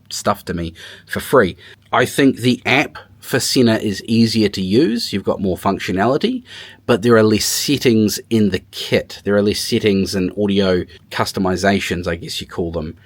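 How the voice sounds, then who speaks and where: A man's voice: fairly low pitch, animated, normal speed. A man talking informally and thinking aloud as he gives a casual hands-on demo and review of gear. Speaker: motorcycle tech reviewer.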